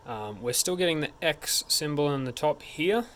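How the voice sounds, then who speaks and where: A man's voice: a little high, expressive, moderate pace, a bit bright. A man narrating a hands-on technical tutorial in an informal, step-by-step way. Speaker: tech support instructor. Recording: clear background noise.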